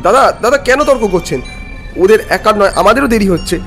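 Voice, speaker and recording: A man's voice: high-pitched, lively intonation, fairly quick, pitched above the performer's own voice. A man voicing an earnest, protective hero in a horror story, urgent and emotional. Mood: appealing. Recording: loud music behind.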